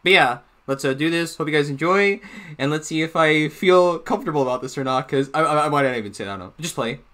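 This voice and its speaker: Rambling young man, bright voice. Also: on the high side, quick pace. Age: young adult. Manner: rambling, jokey asides. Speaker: reaction video host. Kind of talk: casual reaction commentary. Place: small room at home.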